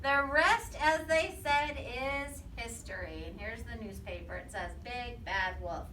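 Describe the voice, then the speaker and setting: High-voiced lady doing storytime for little kids, asks them questions, mic far off in an echoey room. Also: unhurried. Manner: expressive, prompting and echoing children's answers. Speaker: teacher reading a picture book aloud to young children. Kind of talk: animated storybook read-aloud with questions to listeners. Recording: roomy, distant mic with background noise. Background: young children answering.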